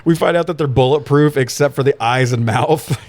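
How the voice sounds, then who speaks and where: A man's voice: medium pitch, a little fast, a little mellow, no echo. Laughing, profane man recapping a film. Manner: profane, irreverent, often laughing through sentences. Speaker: podcast co-host recapping films. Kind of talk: casual comic film recap chat.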